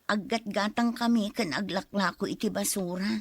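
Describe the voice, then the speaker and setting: A woman's voice: mid-range voice, moderate pace, dry recording, lightly acted. Devoted impoverished wife played by a woman: mid-range voice, earnest, imploring, radio drama, dry sound. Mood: pleading. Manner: earnest, pleading. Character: poor, pleading, devoted wife who collects scrap.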